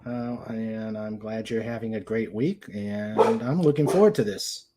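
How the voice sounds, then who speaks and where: A man hosting a casual livestream chat, speaking haltingly as he searches for his words. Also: fairly low pitch, mellow, a little hiss or hum. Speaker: host. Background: dog noises and clicks.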